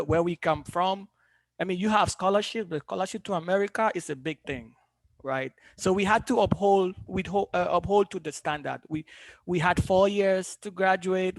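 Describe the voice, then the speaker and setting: A man from Cameroon introducing himself on an alumni panel, speaking in a measured way with frequent pauses. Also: a little high, dry recording. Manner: measured, pausing with many ums.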